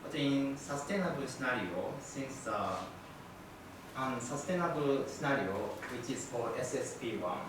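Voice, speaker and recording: A man giving an academic lecture in halting, non-native English with many fillers. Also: mid-range voice, lively intonation. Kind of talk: academic presentation. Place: lecture room. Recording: echoey room from far off, noisy.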